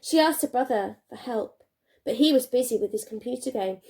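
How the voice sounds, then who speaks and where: A woman reading a children's storybook aloud like a primary teacher, expressively, with dramatic pauses and emphasis. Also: a little high, animated, vocal fry, darkish tone.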